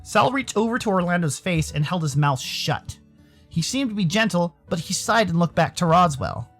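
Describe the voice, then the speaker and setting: Man as a lively, curious furry protagonist. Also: high voice, moderate pace, soft background music, heavily acted. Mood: thoughtful. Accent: American. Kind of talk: visual novel narration and dialogue.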